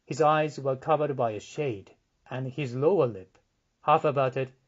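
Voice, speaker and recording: A man reading literary fiction as an audiobook narrator, in a measured, deliberate, dramatic style. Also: medium pitch, muffled recording. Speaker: audiobook reader.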